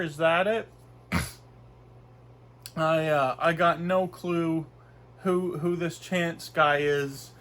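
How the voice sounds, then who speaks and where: A man's voice: a little high, a bit bright. A young man from Canada with a stuffy nose from a cold, chatting casually and rambling through a food review in his bedroom. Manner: rambling, chatty, with frequent fillers and restarts. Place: small bedroom, indoors.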